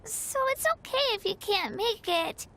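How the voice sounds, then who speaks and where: Eager little game girl, really high, bright n creaky, friendly. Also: animated, measured pace, a little hiss or hum, full character voice. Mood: reassuring. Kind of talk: video game voice acting.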